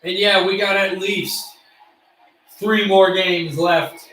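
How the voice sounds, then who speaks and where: Man, high bright voice, excitable hobby play-by-play, voice fraying, roomy off-mic sound. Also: slow pace, distant mic, a little hiss or hum. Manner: excitable, bursts into shouts on shots. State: losing his voice. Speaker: play-by-play streamer. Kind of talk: amateur play-by-play commentary.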